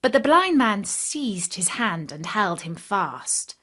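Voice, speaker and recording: A woman's voice: medium pitch, sing-song, slow, fairly bright tone. Lady telling a kids fairy tale, scripted, really up and down intonation. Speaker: storyteller.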